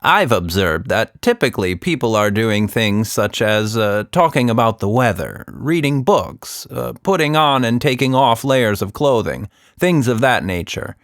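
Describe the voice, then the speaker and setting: A man's voice: medium pitch, expressive, unhurried, few pauses, no echo, studio quality. A man voicing a helpful monkey companion in a children's story, speaking in a formal, pedantic and slightly hesitant way. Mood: earnest advice.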